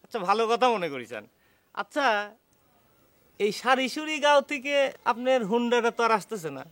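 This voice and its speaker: A man's voice: very high voice, big pitch swings, fairly quick, a bit bright, heavily acted. An actor playing a deferential, stalling village henchman in a comedy drama. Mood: placating. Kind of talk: Bengali TV comedy drama acting.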